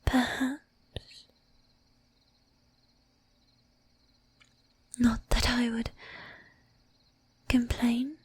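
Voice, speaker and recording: Woman playing a breathy, slow-whispering seductive vampire lady. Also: on the high side, flat delivery, exaggerated voice. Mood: intrigued, teasing. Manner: slow, teasing, commanding, with archaic polite phrasing. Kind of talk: whispered ASMR roleplay.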